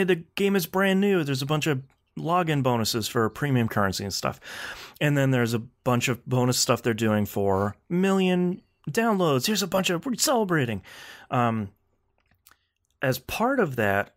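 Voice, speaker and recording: A man co-hosting a casual gaming podcast, talking in a blunt, slangy way with animated complaints. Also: medium pitch. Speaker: podcast co-host.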